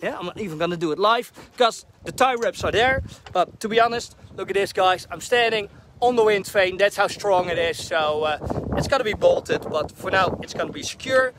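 A man in his thirties with a Dutch accent, giving an excited tour of his sailboat while filming himself. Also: high voice, a little fast, noisy background. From Netherlands. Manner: enthusiastic, chatty, addresses viewers as guys. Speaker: sailing vlogger. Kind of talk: casual vlog boat tour. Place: on a sailboat in a harbor, cabin and deck. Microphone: camera at arm's length.

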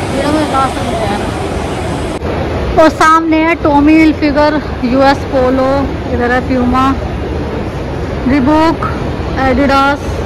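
Brief: young woman vlogger, high voice, casual and chatty, filmed on a handheld phone. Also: heavy background noise. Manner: chatty, direct to viewers, lists store names. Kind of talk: walking mall tour vlog. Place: outdoor plaza, then large indoor mall.

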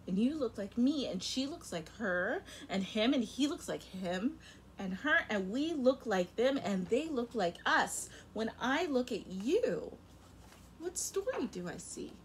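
A young woman teacher reading a picture book aloud to kindergarten children, very expressive, her loudness rising and falling sharply. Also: a little high, unhurried, slight background noise, poor audio. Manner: expressive and animated, with big swings in loudness. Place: home room in front of a rainbow feather backdrop.

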